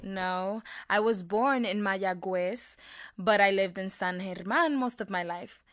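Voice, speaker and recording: A young woman acting a blunt, slangy Puerto Rican high school girl in a naturalistic audio drama. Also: measured pace, a bit bright, lightly acted. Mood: curious, casual.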